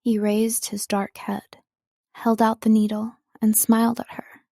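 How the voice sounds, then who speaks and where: A woman narrating audiobooks from a script in an expressive, measured storytelling way, close to the microphone. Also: on the high side, gravelly, dry recording. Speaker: audiobook narrator, voice-over artist.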